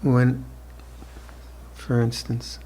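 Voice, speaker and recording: A monk in his seventies gives an evening dharma talk, speaking slowly and reflectively with gentle restarts and soft laughter. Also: medium pitch, completely flat delivery. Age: 70s. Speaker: monk teacher. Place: retreat hall with listeners.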